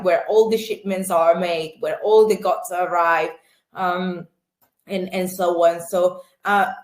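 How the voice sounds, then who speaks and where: A woman's voice: mid-range voice, reverberant. A woman recruiter giving an online presentation about internships, hesitant, with many fillers and restarts.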